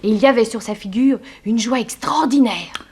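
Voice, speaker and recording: An actress voices an eager young maid from a vintage French radio thriller. Her voice is fairly high. She reports like an excited little informer. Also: moderate pace, natural voice. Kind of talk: 1960s French radio drama acting.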